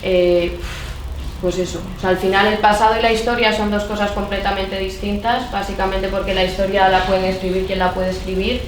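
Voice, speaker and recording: A young woman speaks at a public event, her delivery flat and even, and she pads her speech with fillers. She sounds distant in an echoing hall. Faint noise sits behind her voice. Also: mid-range voice, brisk. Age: young adult. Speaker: speaker at a debate. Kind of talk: unscripted public talk. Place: large echoey hall. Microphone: far from the microphone. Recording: echoey hall, distant mic.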